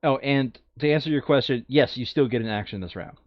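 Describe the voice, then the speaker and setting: A man's voice: mid-range voice, fairly quick, no echo, muffled recording. A man chatting casually while playing a board game, thinking aloud as he works through moves. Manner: thinking aloud, muttering counts. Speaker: tabletop board game player. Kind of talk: casual chat during board game play.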